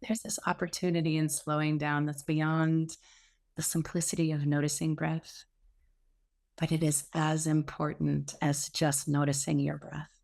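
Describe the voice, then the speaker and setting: Female meditation guide, deep register with noticeable vocal fry, soothing and unhurried. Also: flat delivery. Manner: slow, gentle, soothing delivery with pauses. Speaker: meditation teacher.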